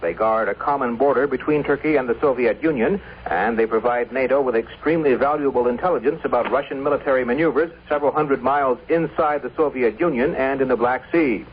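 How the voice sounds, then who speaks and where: A man's voice: medium pitch, brisk, few pauses, slight background noise. A man filing a scripted foreign news report over a tinny line on vintage radio.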